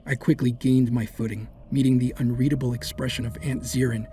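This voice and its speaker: A man's voice: mid-range voice, flat delivery, a little fast, mellow, slight background noise, lightly acted. A man playing a proud, hot-tempered warrior in an audio drama, speaking in grave, formal, theatrical phrases. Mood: brooding. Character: proud, hot-tempered dark warrior, fiercely protective brother. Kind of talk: first-person dramatic audio drama narration.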